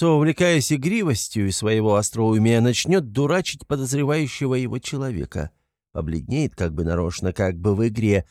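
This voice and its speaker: A man's voice: medium pitch, darkish tone, dry recording, clean studio sound, natural voice. A man playing a wily, fussy police investigator from a classic novel, falsely fatherly, rambling and coaxing in an obsequious way.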